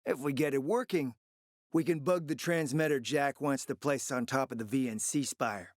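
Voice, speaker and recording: A man playing a suave, flirtatious, scheming spymaster in a video game, with a smooth, theatrical, sardonic and teasing manner. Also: mid-range voice, normal speed, dry recording, clean studio sound, natural voice. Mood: conspiratorial. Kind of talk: video game character acting.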